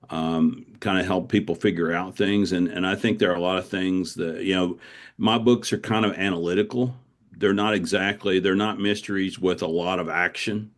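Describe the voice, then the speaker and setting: A middle-aged man speaking as an author in a casual, reflective interview, circling back as he explains. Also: sits low.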